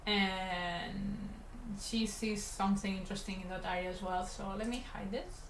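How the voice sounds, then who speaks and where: A woman from Catalonia, speaking English with a Spanish Catalan accent and Catalan as her first language. She talks in a relaxed, rambling way to viewers while she works. The voice sits at a middle pitch and sounds roomy, with a faint hum behind her. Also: normal speed. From Catalonia, Spain. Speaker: animator streaming her work. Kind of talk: casual livestream chat while drawing. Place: animation studio room.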